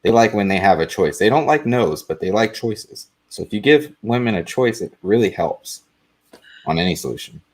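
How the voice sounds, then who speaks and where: A man talking on a live call-in chat show, with a deep voice that he says often sounds monotone, blunt and dry. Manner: dry, deliberate delivery.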